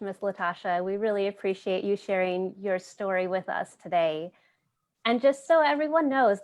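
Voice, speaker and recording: A woman hosting a community storytime, speaking casually and encouragingly straight to the viewers. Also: medium pitch. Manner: encouraging, addresses viewers directly. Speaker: host of a community storytime program. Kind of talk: casual presenting to online viewers.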